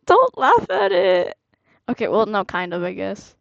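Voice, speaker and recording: A young woman hosting a casual fan chat on a voice call, bantering excitably and full of opinions. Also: mid-range voice, slow pace, gravelly, no room sound. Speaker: host of a fan ship-rating stream. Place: indoors on a Discord call. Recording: dull, muffled voice-call audio.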